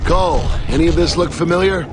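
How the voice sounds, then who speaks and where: A man voicing a tough, gruff soldier squadmate in a video game battle. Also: a little high, fast, noisy background, close to an everyday voice. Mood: alert, exclaiming. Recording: loud game music and machinery behind.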